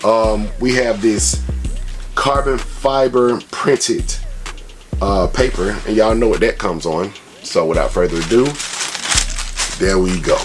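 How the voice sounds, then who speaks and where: Male sneaker vlogger, lowish voice, slangy and conversational, casual review style over faint music. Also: takes it slowly. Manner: slangy, conversational, peppered with tag phrases. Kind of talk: casual product review vlog with shout-outs.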